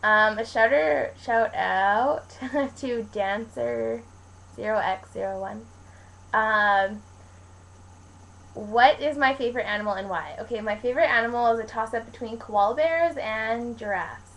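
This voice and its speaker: A woman's voice: on the high side, a little slow, vocal fry, a bit bright, poor audio. A young woman from Canada talking casually to viewers in a rambling, informal way, like a vlogger answering questions. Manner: rambling, informal, colloquial with frequent "like". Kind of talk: casual chat to camera, Q&A vlog.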